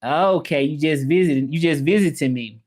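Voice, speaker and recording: A man's voice: fairly high pitch, moderate pace, a little mellow. Casual man with a Memphis Southern accent. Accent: Memphis Southern American. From Memphis, southern United States. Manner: informal, rambling, repeats himself. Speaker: livestream host. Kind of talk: casual livestream chat about learning languages, reading comments.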